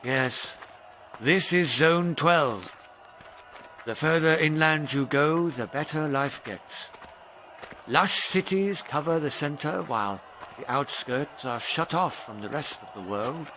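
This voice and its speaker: An actor playing a guarded, blunt villager from an oppressed zone, terse and sceptical, in an audio drama. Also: male voice, on the high side, slow, bright, forceful tone, slight background noise. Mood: grim, explanatory.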